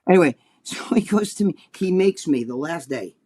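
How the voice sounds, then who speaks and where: Older New Jersey man, podcast co-host, foul-mouthed animated storyteller with a slightly higher voice. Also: normal speed, breathy edge. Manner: animated, profane, acts out quotes in a high voice. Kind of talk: casual storytelling chat.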